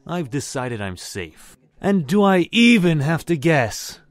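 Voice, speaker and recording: A man's voice: a little high, sing-song, slow pace. Man playing a haughty young prince. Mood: scornful. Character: haughty, scornful young male royal. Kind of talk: comic dub acting.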